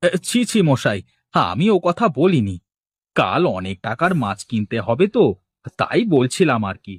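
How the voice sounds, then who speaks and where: A man acts out an anxious village fish merchant for a cartoon. The voice sits at a medium pitch in a dry recording. He is courteous yet easily panicked, and he repeats himself in exclamations. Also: big pitch swings, a little slow, husky, no room sound. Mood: deferential. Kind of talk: cartoon dialogue acting.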